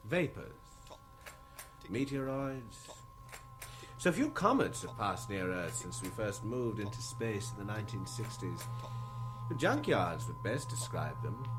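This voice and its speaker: A man playing a matter-of-fact, wry spaceship crewman in a 1960s radio drama, speaking in clipped phrases. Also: fairly low pitch. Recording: vintage radio drama, loud electronic music.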